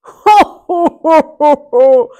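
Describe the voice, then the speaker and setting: A woman voicing a huge male storybook giant, boastful and scornful, with a slow, booming delivery. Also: expressive, mellow, full character voice. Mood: booming laughter. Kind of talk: children's storybook character voice.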